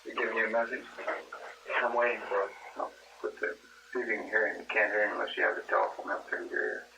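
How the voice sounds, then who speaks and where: A man's voice: fairly low pitch, flat delivery, quick pace, vocal fry. An American man speaking as a soldier, recorded from a distance on noisy 1960s film audio with clicks. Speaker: soldier, test participant.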